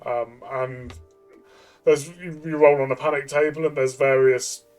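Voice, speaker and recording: Halting man with a mid-pitched voice, music behind. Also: steady tone, darkish tone. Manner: halting, repeats words, tentative. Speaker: tabletop game reviewer and player. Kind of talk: casual explanatory review chat.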